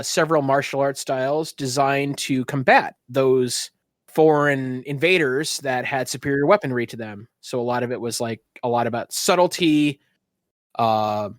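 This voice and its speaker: A man's voice: mid-range voice, no room sound. Man running a tabletop game, dry close sound. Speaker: game master and show host. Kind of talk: improvised tabletop game narration with casual banter.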